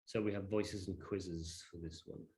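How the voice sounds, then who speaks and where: A man presenting a software demonstration informally, in a hesitant, off-the-cuff way. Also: low voice, steady tone, normal speed. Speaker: presenter demonstrating a digital library.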